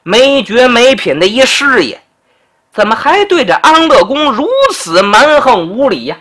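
A storyteller voicing a clever male official who speaks quickly in a sharp, scolding, lecturing way. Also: very high-pitched, big pitch swings, pitched above the performer's own voice, full character voice.

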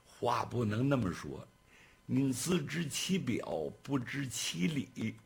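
A man's voice: mid-range voice, big pitch swings, slow pace, vocal fry, husky, full character voice. A man voicing a desperate, fawning male steward in a traditional storytelling piece, whining and stammering as he begs. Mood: pleading.

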